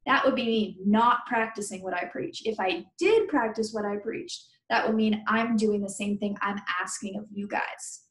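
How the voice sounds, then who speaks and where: A woman's voice: mid-range voice, normal speed, hardly any pauses. A woman teacher reading a children's book aloud, stopping to question and explain things to her pupils. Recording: roomy indoor echo.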